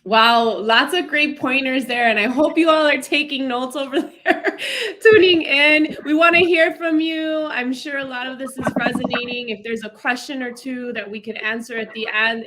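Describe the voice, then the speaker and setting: A woman's voice: on the high side, sharp tone. A woman hosting a livestream, interviewing conversationally and addressing viewers in an upbeat, encouraging way. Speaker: livestream host. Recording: faint background noise, clicks.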